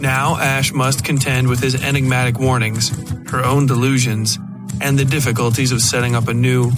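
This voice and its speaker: A man of middle pitch reads a scripted podcast introduction as host. Prominent plucked guitar music plays under his voice. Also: level tone, moderate pace. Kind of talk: scripted podcast recap and sponsor read.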